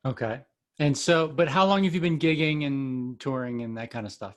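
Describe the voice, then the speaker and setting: A man's voice: mid-range voice, normal speed. Rambling male podcast host. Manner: friendly, rambling, many fillers and restarts. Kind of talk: casual interview hosting. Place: Florida.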